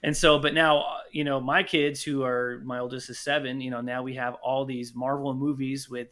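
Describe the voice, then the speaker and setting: A man in his thirties with a bright, forward voice at a middling pitch. He speaks conversationally, as a guest would on a relaxed podcast. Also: normal speed. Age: 30s. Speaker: podcast guest, audio drama producer. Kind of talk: casual chat.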